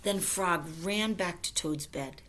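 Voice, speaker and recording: A woman reading a children's story aloud in a gentle storytelling style. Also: on the low side, slow, a little hiss or hum. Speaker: storybook reader.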